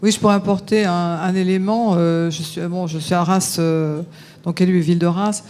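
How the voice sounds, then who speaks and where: A local elected official, a man, adding a halting, informal remark from the floor at a conference. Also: sits high, flat delivery, darkish tone, clear, resonant tone. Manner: halting, informal, many restarts.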